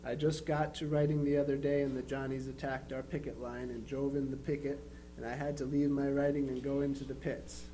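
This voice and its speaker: A faintly noisy live recording of a man acting a dutiful young Union soldier. The voice is warm and mellow at a middle pitch, reading a letter home with understated, matter-of-fact calm. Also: fairly quick, lightly acted. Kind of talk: dramatized reading of historical letters.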